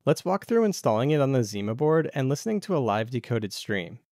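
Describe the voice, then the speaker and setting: Guy doing a casual diy tech tutorial, animated intonation, really dry sound. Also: medium pitch, a little fast, no echo. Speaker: tech project video host.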